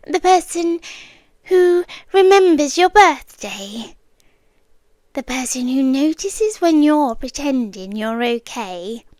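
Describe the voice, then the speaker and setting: Woman playing a high, sweetly menacing British yandere girlfriend. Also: no echo, heavily acted. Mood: tender, pleading. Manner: coy, cooing, with soft giggles and whispery dips. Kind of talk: intimate ASMR roleplay.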